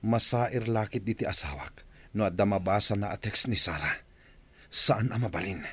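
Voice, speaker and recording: A man's voice: on the low side, lively intonation, normal speed, fairly bright tone, husky, exaggerated voice. A man playing a guilty, worried unfaithful husband who pleads, in an Ilocano radio drama.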